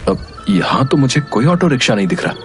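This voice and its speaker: An earnest young man, a curious reporter, as a male voice actor plays him, thinking aloud in a cartoon tale. His pitch moves freely at a middle register over faint music. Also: big pitch swings, a little fast, lightly acted. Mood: musing. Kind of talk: Hindi cartoon story dialogue, thinking aloud.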